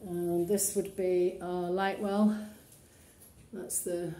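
A woman's voice: on the low side, flat delivery, slow, warm tone. Woman touring a derelict house. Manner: matter-of-fact, practical, pointing things out. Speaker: estate agent giving a property tour. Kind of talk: walkthrough tour commentary. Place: empty, run-down townhouse indoors. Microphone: phone camera held while walking. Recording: echoey bare rooms, faint ambience.

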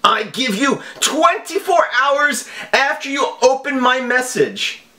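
A man voicing a menacing, over-dramatic evil hacker villain in a made-up foreign accent, slow and theatrical. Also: very high voice, expressive, sharp tone, voice pushed up, exaggerated voice. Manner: slow, theatrical, deliberate delivery with broken English.

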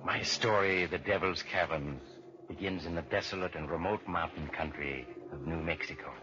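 A man's voice: low-pitched, moderate pace, noisy background, natural voice. A man playing a sinister, ominous storyteller narrating a theatrical 1940s radio mystery. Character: sinister, ominous male storyteller of murder tales. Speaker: narrator and host. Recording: dull, lo-fi 1940s radio recording.

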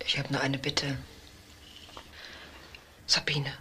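An actress playing a subdued, pleading adulterous wife, hushed and submissive, in a crime radio drama. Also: female voice, very deep voice, monotone, slow, slight background noise, full character voice.

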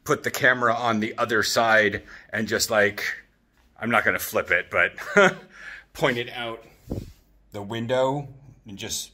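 A man in his thirties chatting casually in a vlog with an animated, jokey manner, recording on a phone at arm's length in an apartment. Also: fairly low pitch, a little slow. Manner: animated, jokey, acts out quotes. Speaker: vlogger. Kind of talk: casual rambling vlog chat. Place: open-plan apartment living room and kitchen.

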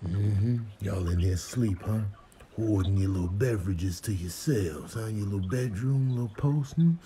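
Sneaky critter burglar, played by a man: low, hushed muttering, smug and slangy. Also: normal speed, a little hiss or hum, close to an everyday voice. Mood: sly. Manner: low, murmured, self-talking. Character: sly, muttering animal thief sneaking drinks. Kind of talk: comic animal voiceover.

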